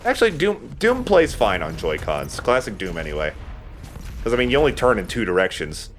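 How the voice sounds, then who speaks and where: A man with a lowish voice hosts a casual video game let's play. He talks in a rambling, conversational way and swears now and then, with music playing underneath. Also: very animated, fairly quick. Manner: rambling, sometimes profane. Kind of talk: casual gaming commentary.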